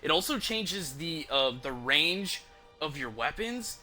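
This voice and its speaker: A man's voice: on the high side, lively intonation, slow pace, sharp tone. A man hosting a game livestream, chatting to viewers in an informal, rambling, explanatory way without a script. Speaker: livestream host, gamer. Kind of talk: casual unscripted chat to stream viewers. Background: music behind the voice.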